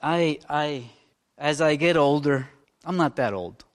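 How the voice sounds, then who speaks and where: A man's voice: on the high side, slow pace. Fervent minister in his fifties. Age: 50s. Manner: fervent, rambling, swings from loud to hushed. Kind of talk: informal sermon.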